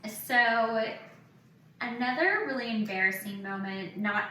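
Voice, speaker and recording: A woman in her thirties hosting a casual podcast, telling candid personal stories in an animated way and acting out what people said. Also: on the high side, measured pace. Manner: animated, acts out quoted remarks. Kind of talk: casual chat, candid anecdotes. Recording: echoey room, distant mic, faint noise.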